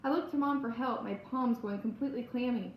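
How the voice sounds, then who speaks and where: Expressive woman reading aloud in echoey room. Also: on the high side, fairly quick, lo-fi sound. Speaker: audiobook-style reader. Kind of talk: expressive read-aloud of a first-person children's spooky novel. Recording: roomy echo, faint background noise.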